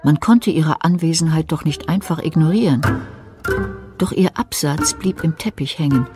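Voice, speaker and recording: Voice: mid-range voice, normal speed, music in the background. A narrator giving a dramatic scripted reading in a German radio thriller.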